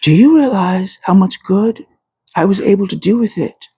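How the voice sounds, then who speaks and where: A man speaking as a calm, self-assured young lady. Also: a little high, unhurried, slightly rough, no room sound.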